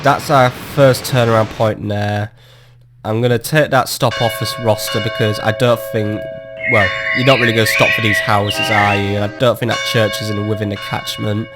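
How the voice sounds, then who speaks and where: A man's voice: on the low side, level tone, normal speed, over loud music. A man giving casual, self-mocking commentary while playing a management game, his voice still recovering from a cold. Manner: chatty, self-mocking, swears when annoyed. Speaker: gameplay commentator. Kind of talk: casual let's-play commentary. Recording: audible background noise.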